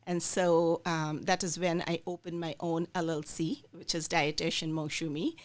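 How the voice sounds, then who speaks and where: A woman's voice: low voice, flat delivery, normal speed. A middle-aged woman from India, a dietitian, telling her life story as a podcast guest, with many fillers.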